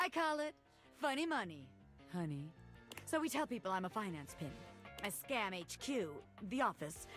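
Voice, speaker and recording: A woman's voice: medium pitch, fairly bright tone. A woman playing a cocky, streetwise small-time gangster pin in a video game, talking in brash wiseguy slang. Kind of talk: video game character acting.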